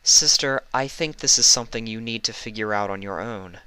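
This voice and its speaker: A regal, serene elder sister pony from a storybook, as a male narrator puts her on. Her voice is lifted a little and smooth, with a measured, soothing delivery and a gently teasing air. The recording is dry. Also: lowish voice, dry recording, voice pushed up, poor audio. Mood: gently knowing.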